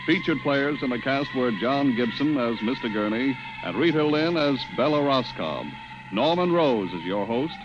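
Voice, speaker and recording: A man's voice: mid-range voice, expressive, normal speed, background noise. A man reading scripted credits as a 1950s radio announcer. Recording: old-time radio broadcast recording.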